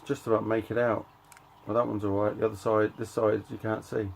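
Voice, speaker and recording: A middle-aged man giving a casual show-and-tell of his collection, talking in a halting, offhand way and reading out titles in short bursts. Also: lowish voice, flat delivery, vocal fry, a little hiss or hum. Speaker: record collector and channel host.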